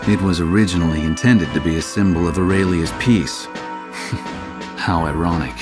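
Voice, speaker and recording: A man playing a cynical, world-weary war reporter in a video game, narrating in a measured, wry way. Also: sits low. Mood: weary, bitter. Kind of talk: scripted first-person narration. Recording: music behind the voice. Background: prominent background music.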